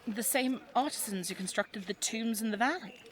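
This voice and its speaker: An actress playing an inquisitive, dutiful, wry young daughter of a 1920s English archaeologist in an audio drama, with a polite, articulate, dry delivery. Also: female voice, fairly high pitch, normal speed, a little hiss or hum, natural voice. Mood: curious, questioning. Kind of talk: scripted audio drama acting.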